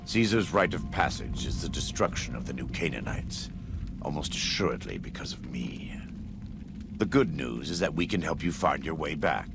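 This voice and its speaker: A man playing a solemn, devout war leader in a video game, gravelly, measured and gravely courteous. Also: extremely low pitch, expressive, music in the background, exaggerated voice. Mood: somber. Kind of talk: video game character dialogue.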